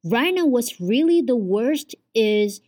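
A woman's voice: on the high side, lively intonation, slow, darkish tone. A young woman in her twenties from Vietnam, a native Vietnamese speaker with a Vietnamese accent, hosting her own podcast at home in an earnest, chatty way, often saying "like". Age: 20s. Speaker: podcast host. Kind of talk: casual solo podcast chat.

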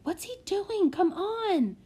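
A woman's voice: on the high side, lively intonation, unhurried, dark tone. A woman voicing an earnest, kindly but anxious young boy in a children's storybook. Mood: nervous, urgent.

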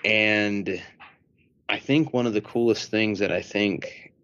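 A man of about thirty speaks very slowly in a fairly low voice. He searches for words in casual podcast discussion. The audio is dry and a bit dull. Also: a bit bright, no room sound. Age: 30s. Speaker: podcast co-host or guest. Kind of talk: casual theology podcast chat.

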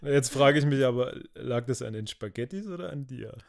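A man's voice: mid-range voice, lively intonation, moderate pace, vocal fry, a little mellow. A man from Germany, co-hosting a casual podcast, asking questions and adding playful asides. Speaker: podcast co-host, interviewer. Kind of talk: casual chat.

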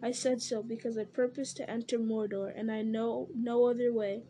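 A woman's voice: on the high side, flat delivery, dark tone, slightly rough, poor audio. A woman reading aloud and voicing a grim, resolute young male hero who speaks firmly and solemnly. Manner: firm, solemn, measured.

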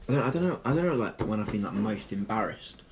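A croaky-voiced teenage boy rambles quickly through his stories, stumbling over restarts, and his pitch swings widely. A faint hiss sits under the recording. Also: lively intonation. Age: teens. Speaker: podcast co-host. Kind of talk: casual chat between friends.

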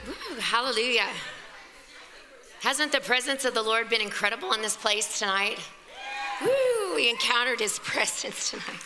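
A woman pastor with a scratchy, hoarse voice, preaching a fervent, conversational sermon. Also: fairly high pitch, lively intonation, normal speed, pushed and bright, soft background music. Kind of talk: sermon at a women's evening service. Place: church hall. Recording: long reverb of a large hall.

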